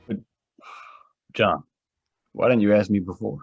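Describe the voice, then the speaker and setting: A man acting a privileged young heir in a radio drama, polite, measured and matter-of-fact, with a husky voice. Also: lowish voice, fairly even intonation, muffled recording, faint music behind.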